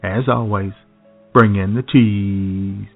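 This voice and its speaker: A man's voice: fairly low pitch, steady tone, vocal fry, soft background music. Sardonic male radio co-host, tinny. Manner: sardonic, jokey, halting with fillers. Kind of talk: political comedy chat. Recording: phone-like lo-fi sound.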